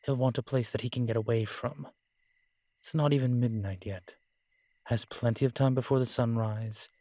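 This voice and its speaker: A man's voice: mid-range voice, fairly even intonation, normal speed, dark tone, no room sound, natural voice. A man playing an ancient, proud and world-weary male vampire in an audio roleplay, talking in a wry, haughty way with old-fashioned fancy words. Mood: grumbling, watchful. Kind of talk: audio roleplay drama, one-sided dialogue.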